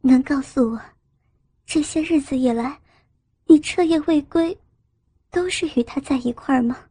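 A gentle young heroine of a romance audiobook, voiced by a woman narrator. She sounds heartbroken and restrained, with a high, mellow voice choked by sorrow. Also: normal speed, dry recording, natural voice.

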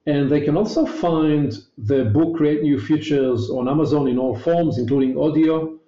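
A man's voice: a little high, moderate pace, warm tone, reverberant, lo-fi sound. A man with an Israeli accent, a native Hebrew speaker, answering interview questions earnestly and emphatically, often repeating words. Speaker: guest.

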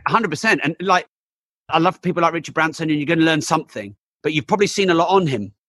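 Entrepreneur guest, bright forceful tone, dry close recording, energetic chatter. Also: male voice, a little high, fast talker, dry recording. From England. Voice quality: voice still not fully recovered. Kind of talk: casual interview chat.

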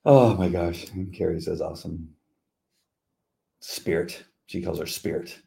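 A man's voice: deep voice, measured pace, vocal fry, dark tone. A man co-hosting a faith talk show, rambling through stories and laughing easily. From United States. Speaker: podcast co-host. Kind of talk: casual chat.

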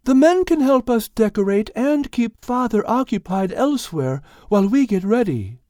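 A man's voice: very high voice, sing-song, moderate pace, dark tone, dry recording, clean studio sound, voice pushed up. A man voicing a young woman suggesting a secret plan. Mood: plotting. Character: scheming young woman, helpful.

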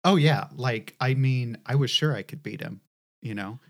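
A woman's voice: rumbling low, moderate pace. A middle-aged woman co-hosting a podcast, telling a rambling, casually profane story with many fillers. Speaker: podcast co-host.

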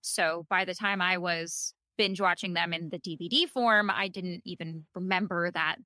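A woman co-hosting a podcast in casual chat, thoughtful and measured, with plenty of "like" fillers. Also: fairly low pitch, steady tone, sharp tone, no echo. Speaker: podcast co-host. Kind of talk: casual chat about a TV episode.

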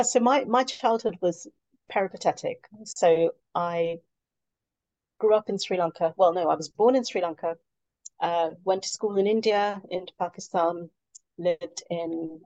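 Casting note: woman guest, gravelly low voice, reflective and hesitant, dry close sound. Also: darkish tone, dry recording, poor audio. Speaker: guest consultant. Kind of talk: conversational interview.

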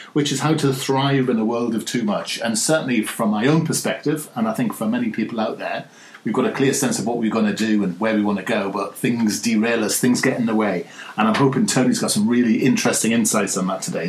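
Male podcast host, mid-pitched, talky and eager, echo in the room. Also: a little hiss or hum. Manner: chatty, enthusiastic, with frequent restarts. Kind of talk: casual interview chat.

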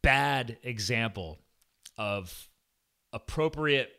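Man, forties, Philadelphia-area accent, lively intonation, forceful bright tone, casual podcast host. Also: mid-range voice, slow pace. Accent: Philadelphia-area American. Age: 40s. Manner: animated, informal, peppered with "like" and "guys". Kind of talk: casual conversational podcast interview.

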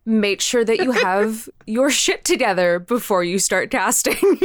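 A woman's voice: mid-range voice, sing-song, unhurried, no echo. A woman speaking casually and frankly as a guest creator in a podcast interview.

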